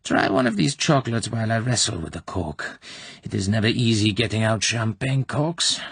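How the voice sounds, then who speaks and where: A man voicing a cold, domineering older female secret police colonel who talks in a smooth, commanding, falsely sweet way. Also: lowish voice, moderate pace, a bit bright, no room sound, pitched above the performer's own voice, exaggerated voice.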